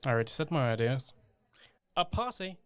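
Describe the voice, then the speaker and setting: A man's voice: medium pitch, sing-song, fairly quick, clear, resonant tone, no echo, natural voice. An amateur actor playing a blunt, cheeky young man who hangs around a community centre, in a radio play.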